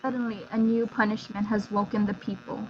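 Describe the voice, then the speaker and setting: A woman's voice: mid-range voice, level tone, a little fast. A woman telling a scripted folktale as narrator with a dramatic storytelling delivery. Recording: muffled lo-fi, faint hum.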